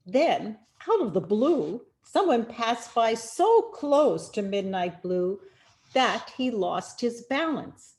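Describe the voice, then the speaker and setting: A woman reading a children's picture book aloud in an expressive, deliberate storytelling manner with dramatic pauses. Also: on the high side. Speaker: storyteller, rabbi.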